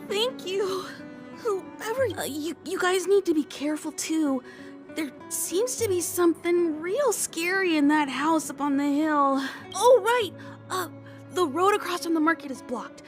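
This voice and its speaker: A woman's voice: very high voice, animated, measured pace, faint music behind. A woman voicing a nervous young boy thief who stammers and hesitates, in game dialogue.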